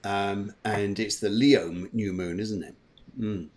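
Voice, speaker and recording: A man's voice: fairly low pitch, fairly even intonation, unhurried, darkish tone. A man hosting a livestream morning show in a chatty, jovial way, often repeating his phrases. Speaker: show host.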